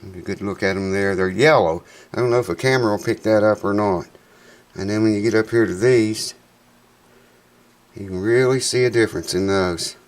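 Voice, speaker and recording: A man's voice: fairly low pitch, steady tone, a little fast. A man hosting a guitar repair vlog in his workshop, talking in a folksy, enthusiastic way and sounding congested with a stuffed-up nose. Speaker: guitar repair channel host.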